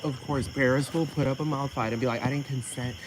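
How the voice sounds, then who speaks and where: A man's voice: medium pitch, steady tone, quick pace. A man rants in a rambling, profane vlog and keeps asking whether the listener understands him. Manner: repetitive, keeps asking "you know what I'm talking about". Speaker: vlogger. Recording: noisy with crickets behind.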